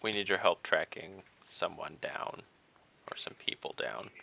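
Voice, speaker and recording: A man playing in an online tabletop game, speaking deliberately and thinking his plans through out loud. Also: low-pitched, creaky, highs cut off, a little hiss or hum. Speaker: player.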